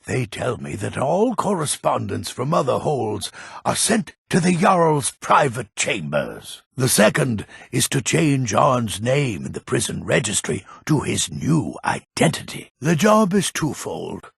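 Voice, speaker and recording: Gravelly, grumpy old man. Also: medium pitch, sing-song, a little slow, no echo, studio quality, full character voice. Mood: conspiratorial, serious. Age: older. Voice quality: gravelly, husky, raspy. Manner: grumbling, emphatic, theatrical. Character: grumpy old man, crafty merchant and schemer. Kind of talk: fantasy video game character acting.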